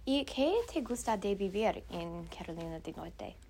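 A woman's voice: fairly low pitch, expressive, measured pace, vocal fry, darkish tone. A young woman, a student, asking interview questions politely and giving short encouraging replies. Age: young adult.

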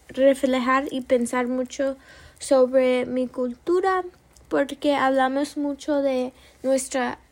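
A woman's voice: sits high, a little mellow. A young woman student with an American English accent hosting her own class podcast, speaking carefully and correcting herself now and then. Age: young adult. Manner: slow, careful delivery with small self-corrections.

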